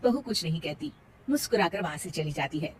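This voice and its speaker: A woman's voice: lowish voice, sing-song, fairly quick, very noisy. A woman reading scripted narration for a cartoon moral story.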